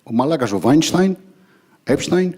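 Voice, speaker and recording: A man with a husky voice and a strong Greek accent performs stand-up in English, his second language. His pitch jumps around as he tells stories and acts out exchanges. He is crude and sweary, tossing in Greek exclamations. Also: moderate pace. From Greece. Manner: animated storytelling with acted-out exchanges. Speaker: stand-up comedian. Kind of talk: stand-up comedy monologue in English.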